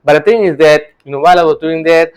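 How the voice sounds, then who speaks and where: Earnest man, smooth voice. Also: on the high side, quick pace. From Singapore. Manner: earnest, repeats and restarts words, tags lines with "right?". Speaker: real estate coach. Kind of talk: casual motivational talk to camera.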